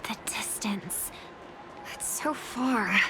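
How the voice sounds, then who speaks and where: A woman playing a gentle, polite young singer in a video game, speaking in a soft, measured, thoughtful way. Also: on the high side, whispered, rough recording. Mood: pensive, hushed. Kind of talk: video game dub acting. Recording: loud music under the voice.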